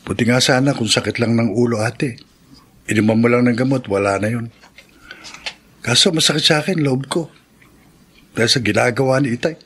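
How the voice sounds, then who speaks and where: An actor playing a dejected, hurt teenage younger brother in a radio drama, downcast and aggrieved. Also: male voice, medium pitch, brisk, long pauses, fairly bright tone, slightly rough.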